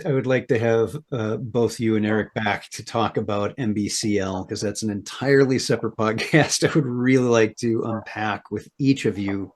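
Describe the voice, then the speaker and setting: A man hosting a mindfulness podcast, introducing and questioning his guest in a friendly, conversational way. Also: on the low side. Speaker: podcast host. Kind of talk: podcast interview.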